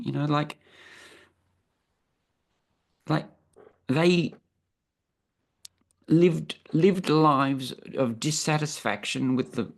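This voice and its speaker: Woman, 60s, croaky and gravelly, a thoughtful, earnest guest on a video call. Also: extremely low pitch. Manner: earnest, thinking aloud with many restarts. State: croaky from travel. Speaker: interview guest. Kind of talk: reflective personal conversation.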